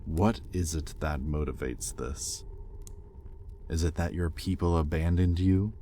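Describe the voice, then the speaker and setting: Man playing a very deep-voiced, exasperated demon master. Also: steady tone, dark tone, a little hiss or hum. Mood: probing, scornful. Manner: sardonic, exasperated, with pointed pauses. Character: exasperated demon master, possessive, sardonic, grudgingly caring. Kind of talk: intimate audio roleplay, dramatic monologue.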